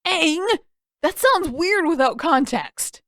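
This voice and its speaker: A woman's voice: very high-pitched, expressive, slow pace, a bit bright, no room sound, studio quality, voice pushed up, full character voice. A woman voicing a dramatic teenage brother in an audiobook story, grumbling and indignant with emphatic delivery. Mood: flustered, screechy.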